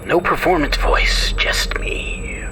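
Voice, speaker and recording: Man whispering a paranoid monologue. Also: slow. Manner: hushed, paranoid, often whispering. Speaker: narrator, radio show host. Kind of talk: dramatic horror monologue.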